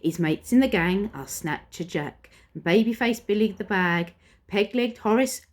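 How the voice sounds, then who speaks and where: A woman's voice: medium pitch, normal speed. A woman reading a rhyming picture book aloud to children in a theatrical, playful way. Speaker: primary school storyteller.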